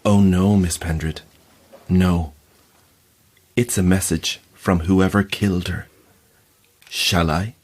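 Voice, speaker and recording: An actor in an audio drama playing an earnest, insistent believer in the afterlife, speaking formally and solemnly. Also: male voice, sits low, fairly even intonation, takes it slowly, pauses often. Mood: insistent, apologetic. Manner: formal, apologetic, storyteller's solemn phrasing. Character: earnest, insistent male afterlife-society believer telling ghostly stories.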